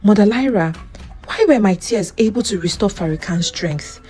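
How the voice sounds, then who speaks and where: A woman's voice: mid-range voice, expressive, moderate pace, warm tone. A woman voicing a frail but determined, devoted young woman in a folktale, speaking earnestly. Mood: awed, curious. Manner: earnest, clear. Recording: music under the voice.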